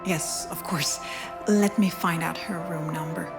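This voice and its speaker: An actress playing a calm, courteous hospital doctor in a video game, speaking formally. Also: female voice, sits low, measured pace. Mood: calm, professional. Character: polite professional female hospital doctor. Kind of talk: scripted video game dialogue. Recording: music clearly under the voice.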